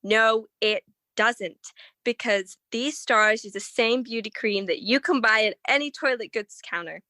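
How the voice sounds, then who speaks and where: Bright, dry-sounding radio beauty consultant played by a woman. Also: measured pace, dry recording. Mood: persuasive. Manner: persuasive, carefully phrased sales pitch. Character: polished female beauty consultant in cosmetics advert. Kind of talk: 1940s radio commercial.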